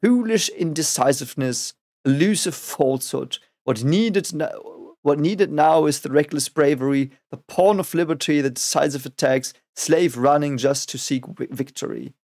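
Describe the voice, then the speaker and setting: Raspy man musing over lyrics. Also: on the high side, a little mellow. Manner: musing, halting, repeats words often. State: voice raspy from a cold. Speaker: reaction video host. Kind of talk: casual reaction and lyric analysis.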